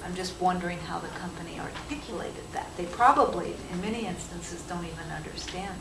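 A woman's voice: on the low side, fairly quick, creaky. A woman in an audience making a spontaneous comment and question, searching for her words as she goes. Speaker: audience member asking questions. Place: conference session room. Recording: echoey noisy room, distant microphone.

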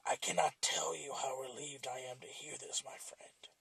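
A man voicing a remorseful male talking machete in a hushed, pleading whisper, with a gravelly, husky voice. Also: medium pitch, steady tone, normal speed, exaggerated voice. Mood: relieved whisper.

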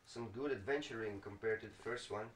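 Guy doing casual let's play commentary, kinda low-key swearing to himself, echoey room, mic sounds far off. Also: fairly quick. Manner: muttering to himself, mildly profane. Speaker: gaming video host. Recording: roomy, distant mic, clearly noisy with clicks.

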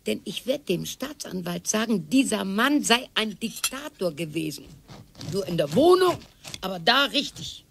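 An actress playing a sharp-tongued retired wife over sixty in a radio play, sarcastic and theatrical. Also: female voice, medium pitch, big pitch swings, moderate pace, gravelly, slightly rough, exaggerated voice. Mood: mocking. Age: older. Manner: sarcastic and theatrical, swings from loud to whispered.

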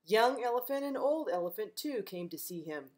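A middle-aged woman reading a picture book aloud to young children, gentle and expressive, as a librarian at home. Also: medium pitch, moderate pace. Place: living room at home, indoors.

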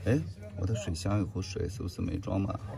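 A man making relaxed, matter-of-fact remarks while setting up a camper trailer outdoors. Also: deep voice, quick pace, gravelly, recorded from a distance, a crowd in the background. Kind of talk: casual family camping vlog. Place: outdoors at a campsite.

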